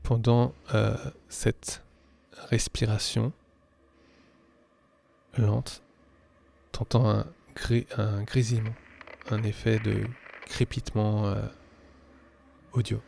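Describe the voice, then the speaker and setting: A man improvising narration as game master of a tabletop horror game, halting and pausing often between phrases. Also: fairly low pitch, little pitch movement, vocal fry, darkish tone.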